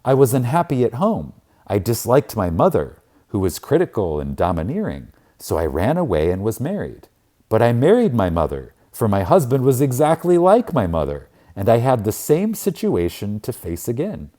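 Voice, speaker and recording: Man reading as an earnest woman, lively intonation. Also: mid-range voice, moderate pace, voice pushed up. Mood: earnest, rueful. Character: unhappy married woman confiding her troubles.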